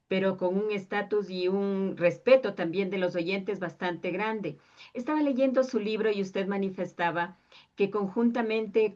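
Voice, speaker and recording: A woman, interviewing a guest in long, winding, measured questions. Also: mid-range voice, a little mellow. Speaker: radio interviewer. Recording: dull lo-fi sound.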